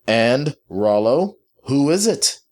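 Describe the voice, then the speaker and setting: A man's voice: mid-range voice, very animated, measured pace, voice pushed up. A man voicing a cold, pompous, irritable master who speaks formally and with authority in a story.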